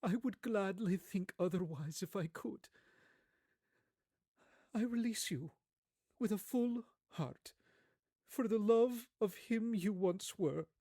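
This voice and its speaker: Man voicing a gentle, sorrowful young woman, high-pitched. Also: slow, long pauses, dry recording, clean studio sound, exaggerated voice. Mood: earnest, resigned. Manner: soft, earnest, formal phrasing.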